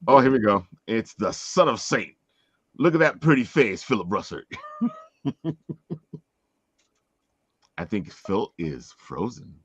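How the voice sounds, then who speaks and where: A man's voice: fairly low pitch, expressive, slow pace, long pauses, breathy edge. A man hosting a casual live panel chat over an online call. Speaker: podcast host. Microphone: online video call. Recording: roomy echo, dull lo-fi sound.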